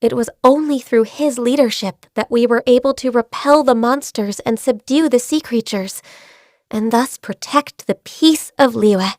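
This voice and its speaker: An actress voicing a gentle, shy and diligent young female secretary in a video game, soft-spoken, earnest and a little hesitant. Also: fairly high pitch, a little slow, dry recording, clean studio sound, close to an everyday voice. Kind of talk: video game character voice lines. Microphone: close studio mic.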